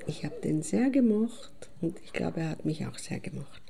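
A woman playing an older Austrian who reminisces in an audio drama, halting and thoughtful as she searches for words. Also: low voice, expressive, gravelly, warm tone. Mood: fond, reflective. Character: reflective older woman fondly recalling a childhood friend.

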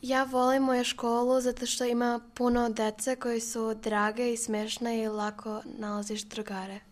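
Flat-toned schoolgirl speaking carefully. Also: on the high side, normal speed. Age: young teen.

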